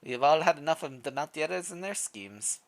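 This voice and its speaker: A man's voice: a little high, expressive, normal speed. A streamer voicing an earnest, rousing male sawmill worker with an impassioned manner and a vaguely foreign put-on accent, in a story game. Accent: uncertain foreign-sounding put-on accent. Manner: impassioned, rhetorical.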